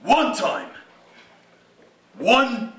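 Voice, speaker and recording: Man playing forceful, proud veteran reindeer, echoey stage. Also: very high voice, sing-song, drawn-out words, full character voice. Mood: bitter, resentful. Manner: boastful, sardonic, emphatic. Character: proud, bitter veteran male lead reindeer. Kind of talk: theatrical stage monologue. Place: indoor theatre stage. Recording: echoey theatre, distant mic.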